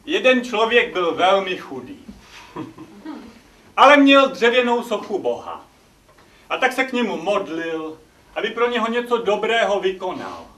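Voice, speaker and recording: An actor playing Aesop, an ugly, witty and impudent slave who tells tales, in theatrical stage comedy style. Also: male voice, sits high, big pitch swings, long pauses, pushed and bright, exaggerated voice. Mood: animated storytelling. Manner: theatrical, cheeky, declaiming. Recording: echoey theatre stage, distant microphone.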